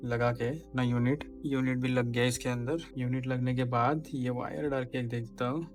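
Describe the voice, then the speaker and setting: A man's voice: mid-range voice, fairly even intonation, normal speed, music in the background. A man vlogger casually explaining a hands-on installation step by step, giving practical tips as he works. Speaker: motorcycle vlogger.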